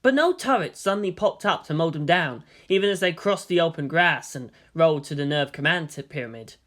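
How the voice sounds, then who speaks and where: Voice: medium pitch, expressive, a little fast. A narrator reading a story aloud in a dramatic, scripted audiobook style.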